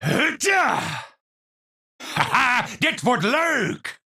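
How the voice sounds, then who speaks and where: Wildly dramatic, eccentric Dutch scientist. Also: male voice, extremely high pitch, big pitch swings, drawn-out words, sharp tone, husky, heavily acted. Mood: manic, exultant. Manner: theatrical, formal scientific phrasing. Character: eccentric, unstable male scientist, lofty and absent-minded. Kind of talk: video game character voice lines.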